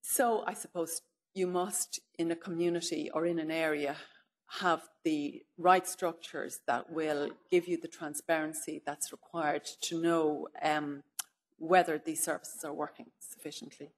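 A middle-aged woman giving a keynote lecture at a lectern, measured and thoughtful, often pausing with "if you like" and "um". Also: deep voice, fairly even intonation. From Ireland. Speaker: keynote speaker. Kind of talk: lecture to an audience. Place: conference room, indoors. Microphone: far camera, speaking at a lectern.

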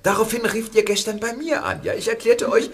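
A man's voice: high-pitched, fairly quick, husky. A man voicing a scheming film director who chuckles as he explains himself, acted for a radio play. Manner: amused, chuckles while explaining.

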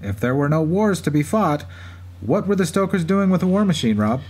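A man's voice: on the high side, very animated, fairly quick, a little mellow, breathy edge. A man co-hosting a podcast with scripted banter and historical storytelling. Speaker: podcast co-host. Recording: faint background noise and ambience.

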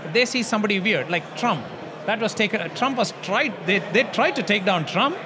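Guy in his 40s at a conference interview, pretty high voice, argues with lots of rhetorical questions, noisy background. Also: lively intonation, a little fast. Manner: argumentative, uses rhetorical questions. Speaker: interview guest, investor. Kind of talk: conversational interview. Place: large hotel hall at a conference. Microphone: handheld mic.

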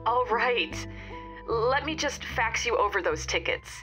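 A woman's voice: a little high, lively intonation, a little slow, sharp tone, soft background music. A woman playing a helpful, slightly old-fashioned informant in an audio fiction podcast, chatty and confiding.

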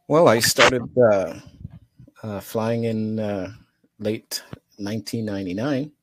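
An older man introducing himself as an instructor in a halting, deliberate, informal way. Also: on the low side, no echo, rough recording. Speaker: chief flight instructor, panelist. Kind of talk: informal self-introduction on a panel. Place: room at home, indoors. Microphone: headset on video call.

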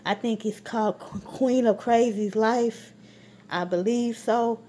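A woman's voice: fairly high pitch, fairly even intonation, a little slow. A woman chatting casually in a home grocery haul vlog, rambling with many ums and filming on a handheld phone. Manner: rambling, full of ums, warm shout-outs. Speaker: vlogger. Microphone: phone camera held while filming.

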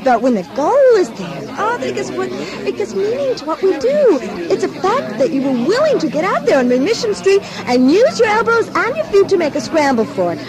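A woman's voice: very high-pitched, very animated, a little fast. An actress playing a gushing, earnest woman enthusiast being interviewed in a radio drama. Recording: crowd noise behind the voice.

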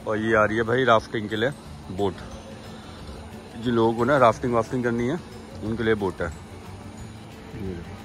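A man's voice: lowish voice, moderate pace, pauses often, a bit bright, faint music behind. A man in his forties chats casually as a travel vlogger, filming himself on a phone outdoors at a hillside camp and pointing things out to his viewers. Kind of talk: casual vlog narration. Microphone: phone camera selfie.

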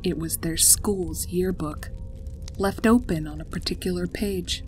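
A woman's voice: fairly low pitch, unhurried. A woman reading a scripted story as its narrator. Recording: music behind the voice. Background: background music.

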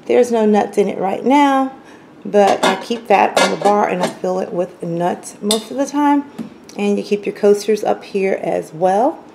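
Woman, casual vlogger, home bar tour, chatty, relaxed, fillers, handheld camera, faint room noise. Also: medium pitch, unhurried, gravelly. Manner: chatty, relaxed, many fillers like um and you know. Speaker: lifestyle vlogger. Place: home basement bar, indoors.

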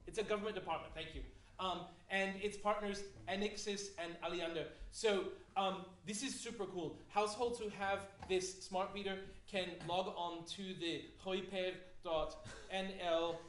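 High-voiced playful male awards presenter. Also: steady tone, normal speed, a bit bright, slight background noise. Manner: playful, chatty, self-correcting. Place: large theatre stage. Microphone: handheld mic, far camera. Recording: echoey hall, from far off.

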